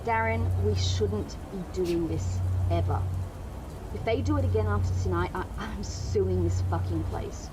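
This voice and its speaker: An actress playing a fed-up, principled office worker in an audio drama, speaking bluntly and earnestly. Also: female voice, medium pitch, noisy background, natural voice.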